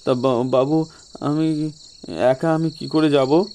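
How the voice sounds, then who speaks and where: A man's voice: fairly high pitch, steady tone, slow pace, dark tone, a little hiss or hum. A man voicing a frightened, gullible village carrier in an audio story, stammering and pleading in a tearful way.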